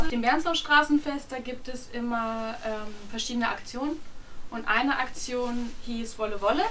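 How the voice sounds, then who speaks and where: A woman's voice: fairly high pitch, fairly bright tone, distant mic, slight background noise. A young woman performing a theatrical monologue for a small group in a room. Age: young adult. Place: small lit bedroom with audience.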